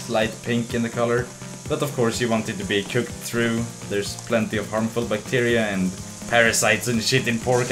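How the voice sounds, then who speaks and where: A young man narrating a casual cooking video in a relaxed, joking way, with fillers. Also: lowish voice. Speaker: cooking show host. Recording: voice-over with clear background music.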